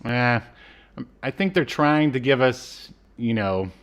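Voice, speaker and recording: A man in his thirties hosting a casual review chat, speaking in a halting, hesitant way. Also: medium pitch, expressive, a bit bright, clear, resonant tone. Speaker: podcast host. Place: small room at home, video call.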